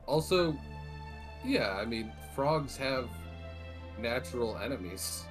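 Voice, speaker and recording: A man's voice: medium pitch, animated, takes it slowly, music in the background. A man playing a tabletop role-playing game, casually narrating and chatting about what his character does.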